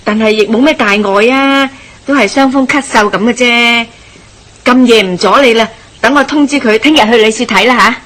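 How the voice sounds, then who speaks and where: An actress playing a warm-hearted, nosy middle-aged village wife with a Hong Kong Cantonese accent, gossipy and exclamatory, in a radio drama. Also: female voice, a little high, normal speed, close to an everyday voice. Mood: chatty, solicitous.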